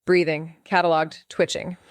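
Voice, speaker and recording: A woman's voice: low-pitched, level tone, normal speed, clear, resonant tone, no echo, studio quality, close to an everyday voice. A woman playing a wry, restless young amateur investigator in a noir audio drama. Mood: wry, uneasy. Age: young adult. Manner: wry, sarcastic.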